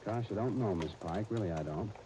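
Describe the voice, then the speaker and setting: A man working as a 1930s radio announcer, narrating and pitching in a genial, polished style. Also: fairly quick, warm tone, a little hiss or hum. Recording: 1939 radio broadcast with music. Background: music.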